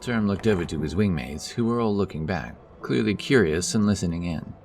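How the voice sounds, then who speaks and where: A man with a deep, low voice reads a scripted fantasy tale as its narrator. Soft music plays underneath him throughout. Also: animated, brisk. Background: background music.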